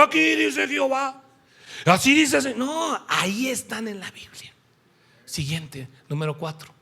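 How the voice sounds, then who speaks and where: Male preacher, mature, Mexican accent, high gravelly voice; emphatic, conversational delivery with humor and quoted dialogue. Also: sharp tone. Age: middle-aged or older. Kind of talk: animated sermon with anecdotes and Bible quotations.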